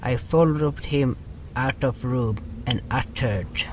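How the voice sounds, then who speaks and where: A man's voice: medium pitch, takes it slowly, a little mellow, slight background noise, lightly acted. A man playing an earnest creature telling its story in an audio drama, solemn, with broken phrasing that drops articles. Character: earnest storytelling creature, small beside its raging ally.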